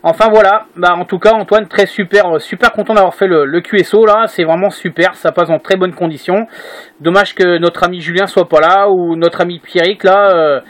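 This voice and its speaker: High-pitched hesitant Frenchman. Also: fairly even intonation, moderate pace, fairly bright tone. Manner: hesitant, repeats himself. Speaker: amateur radio operator. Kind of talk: casual radio contact chat. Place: indoor room at home.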